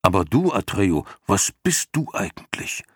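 A man's voice: on the low side, sing-song, measured pace, a bit bright, breathy edge, no room sound, studio quality, exaggerated voice. A man reading an audiobook, voicing a big, timid male dragon who worries and warns. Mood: worried. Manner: worried, cautionary. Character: large male luck dragon, timid and excitable. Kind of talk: audiobook character voice.

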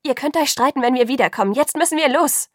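A woman's voice: mid-range voice, moderate pace, no echo, studio quality, natural voice. A woman voicing a determined but torn teenage girl in an audiobook, earnest and emotional. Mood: urgent.

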